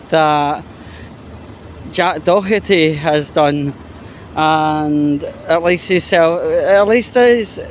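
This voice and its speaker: A man giving casual opinion commentary in a halting, rambling, disapproving way. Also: on the high side, a little hiss or hum. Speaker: commentary YouTuber.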